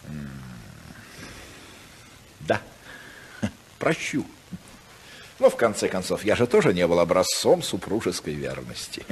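An actor playing a cynical, scheming senator husband with a sardonic, theatrical, mock-polite manner in a 1950s Soviet radio drama. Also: male voice, sits low, big pitch swings, measured pace, long pauses, slightly rough, full character voice. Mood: bargaining, cajoling. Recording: 1950s radio recording, long hall reverb.

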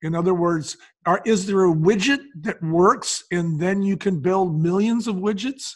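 A man's voice: high-pitched, a little slow. An older man speaking conversationally as a business advisor in an interview, explaining things point by point with colloquial asides. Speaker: business advisor and podcast guest.

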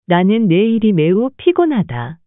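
Woman, lesson narrator, rapid reading, tinny phone-like audio. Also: mid-range voice, sing-song, no echo. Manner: reads each English phrase very slowly, Korean lines quickly. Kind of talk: reading phrases, then Korean translations. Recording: dry, phone-like narrow band.

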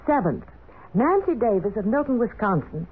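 A woman announcer reads out prizes in 1940s children's radio style. Her voice is husky and very lively in pitch, on a muffled, tinny old recording. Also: moderate pace.

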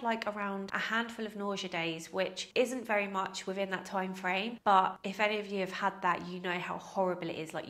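A woman's voice: medium pitch, a bit bright. Woman, 30s, congested nasal voice, casual vlog chat, laughs often, lapel mic. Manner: chatty, self-mocking, laughs often. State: nasal from a head cold. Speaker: fitness vlogger. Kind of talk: casual to-camera Q&A. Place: small indoor room with plants.

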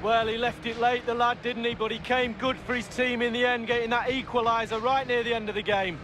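A man playing a matter-of-fact football co-commentator, giving measured, conversational analysis. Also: extremely high pitch, level tone. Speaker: co-commentator, pundit. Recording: loud stadium noise behind the voice.

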